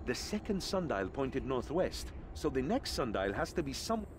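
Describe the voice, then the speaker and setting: A man playing an eager, adventurous young desert companion in a video game, with a dramatic, expressive delivery. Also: medium pitch, normal speed, noisy background, natural voice. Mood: curious. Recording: game music behind the voice. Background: background music.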